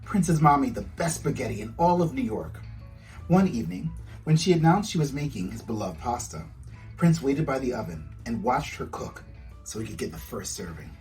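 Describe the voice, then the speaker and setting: A man's voice: mid-range voice, lively intonation, normal speed, a little mellow, distant mic, faint music behind, poor audio. A man, an author, reading his children's storybook aloud to young listeners.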